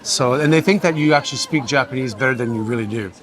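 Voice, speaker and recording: A man who has lived abroad a long time, giving a relaxed, offhand tip in casual chat. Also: mid-range voice, fast. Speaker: guest, longtime resident.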